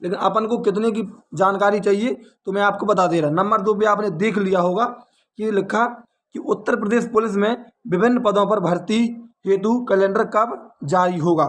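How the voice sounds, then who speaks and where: Guy with a high voice, uttar pradesh accent, coaching teacher talking students through an update, keeps repeating himself. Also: fairly even intonation, normal speed. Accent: North Indian, Uttar Pradesh. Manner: repeats and rephrases points, asks rhetorical questions. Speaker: exam coaching teacher and channel host. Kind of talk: informal explanatory update for students.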